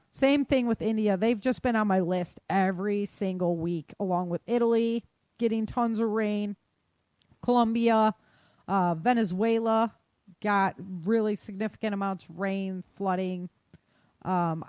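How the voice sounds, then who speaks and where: A woman's voice: medium pitch, a little mellow, no echo. A woman hosting a podcast, giving a casual news roundup in an informal, chatty, colloquial way. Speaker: podcast host. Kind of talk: casual news roundup of world weather and disasters.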